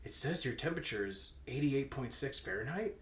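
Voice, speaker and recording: A man's voice: mid-range voice, lively intonation, brisk, fairly bright tone, lightly acted. A man voicing a caring teenage schoolboy who fusses attentively over a friend, lightly theatrical, in a fan fiction reading. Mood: concerned. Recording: echoey room.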